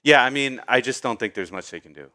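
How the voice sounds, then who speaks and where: A man's voice: mid-range voice, lively intonation, quick pace, pushed and bright, no echo, studio quality. A man co-hosting a video game show, chatting casually about a film, agreeable and hesitant, often repeating his points. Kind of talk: casual film review chat.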